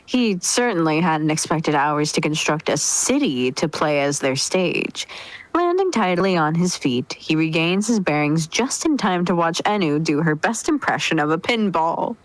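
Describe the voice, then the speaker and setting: A woman narrates a story as a dramatic reading. Her voice is low with lively intonation, captured dry without room echo. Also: normal speed. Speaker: roleplay narrator.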